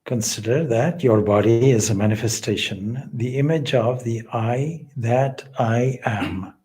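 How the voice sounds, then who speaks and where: Very slow-speaking man guiding meditation. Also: medium pitch, mellow. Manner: measured, deliberate, solemn phrasing with long pauses. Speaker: swami leading guided meditation. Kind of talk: guided meditation, spiritual teaching.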